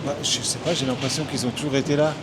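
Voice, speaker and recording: A man's voice: mid-range voice, steady tone, fast, background noise. A man from Switzerland, chatting casually and a bit ramblingly in a street interview. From Geneva, Switzerland. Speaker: passer-by being interviewed. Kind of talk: casual chat. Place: outdoors on a city street. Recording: busy outdoor street with people around.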